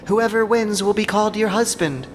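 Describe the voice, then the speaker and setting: A man's voice: sits high, moderate pace, music in the background, voice pushed up, natural voice. A man voicing an urgent, solemn goddess disguised as a young princess in an audio drama. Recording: long reverberant hall, faint ambient noise.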